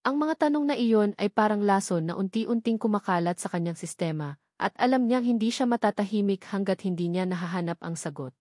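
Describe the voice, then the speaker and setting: A woman's voice: medium pitch, brisk, mellow, dry recording, studio quality. A woman with a Filipino accent giving a scripted dramatic narration of a romance story.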